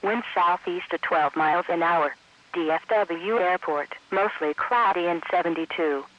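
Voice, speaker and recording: An automated male announcer reading scripted weather bulletins in a measured, impersonal synthetic voice. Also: high-pitched. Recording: dull lo-fi weather radio stream.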